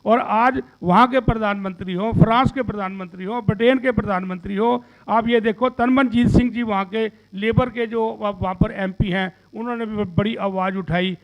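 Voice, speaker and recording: A man's voice: sits high, low-quality recording. A man in his sixties giving an earnest, rambling statement to the press and repeating phrases as he makes an impassioned appeal. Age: 60s. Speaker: social activist and businessman giving a media statement.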